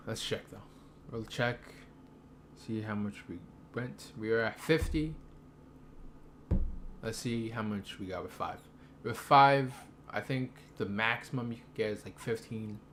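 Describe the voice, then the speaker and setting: Young guy streaming a game, kinda low voice, chill, mutters math out loud, noisy mic. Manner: laid-back, thinking aloud with slang. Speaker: gaming streamer. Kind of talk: casual gameplay commentary.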